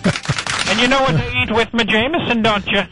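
A man's voice: extremely high pitch, steady tone, normal speed, over loud music. A man playing a sentimental Irish jokester with an Irish accent, delivering corny one-liners in a comic radio bit. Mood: playful. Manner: joke-telling, theatrical.

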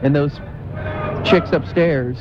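A man's voice: fairly high pitch, takes it slowly. A man hosting a radio segment, asking blunt, teasing questions on a busy street outside an arena. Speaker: radio show host doing street interviews. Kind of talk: brash man-on-the-street banter. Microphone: wired handheld mic. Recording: dull lo-fi sound with crowd noise.

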